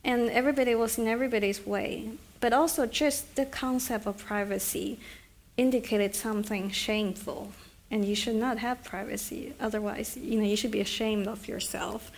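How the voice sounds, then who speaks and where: A middle-aged woman author from China, speaking thoughtfully with hesitant restarts at a live book event. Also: on the high side. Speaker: author at a reading.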